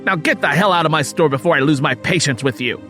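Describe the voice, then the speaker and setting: A man doing a high, condescending old Southern storekeeper. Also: fast talker, sharp tone, faint music behind. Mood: angry, barking. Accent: American South. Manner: patronizing, domineering, emphatic.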